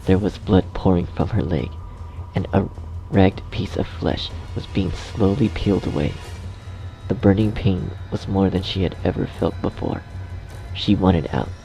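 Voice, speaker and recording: Low, gravelly man narrating a story. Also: a little slow, mellow, low-quality recording. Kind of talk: scripted horror story narration. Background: music.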